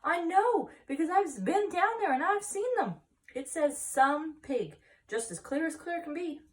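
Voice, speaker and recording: An excited farmer husband, as a woman reader acts him out in a children's storybook. He is self-important and solemnly amazed, pressing each point with wonder, and sits a little far from the mic. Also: extremely high pitch, measured pace, pitched above the performer's own voice. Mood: awestruck, excited. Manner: solemn, emphatic, marveling.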